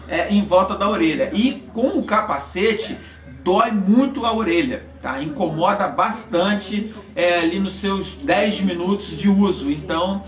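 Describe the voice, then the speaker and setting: A man with a Brazilian accent giving an informal explanation as a vlogger, in a halting, repetitive way with frequent check-in words. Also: sits high, a bit bright. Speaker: vlogger reviewing motorcycle communication gear. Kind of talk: informal explanatory product talk. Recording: echoey, roomy sound.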